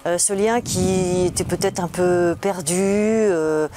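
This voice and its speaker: A woman's voice: on the low side, takes it slowly, noisy background. A woman speaking as a local official in a testimonial interview, explaining things in an informal way.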